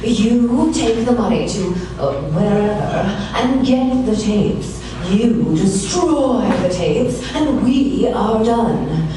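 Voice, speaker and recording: A woman's voice: on the high side, lively intonation, slow pace, few pauses. A woman playing an anxious, genteel, wealthy client in a noir radio play, nervous, hesitant and fussily polite. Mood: anxious, pleading. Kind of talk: noir radio theater acting. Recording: echoey, distant, noisy stage recording.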